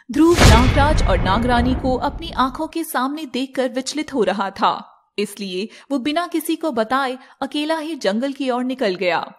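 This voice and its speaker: A woman narrating a scripted animated fairy tale as storyteller, with noise behind her. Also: sits high.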